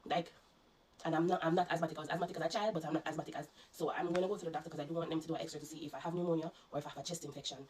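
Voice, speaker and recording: A woman's voice: sits low, fairly even intonation, darkish tone. A Jamaican woman telling viewers, in patois-laced casual speech, about a lingering flu that still has her congested. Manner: rapid, earnest, mixing patois into the telling. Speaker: vlogger. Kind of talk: casual personal storytelling to viewers.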